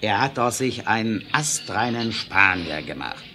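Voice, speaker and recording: An actor playing a reformed Wild West bandit in a 1970s German audio drama, gossiping in a folksy, conspiratorial way. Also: male voice, lowish voice, lively intonation, a little slow, pushed and bright. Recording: noisy old vinyl radio-play recording.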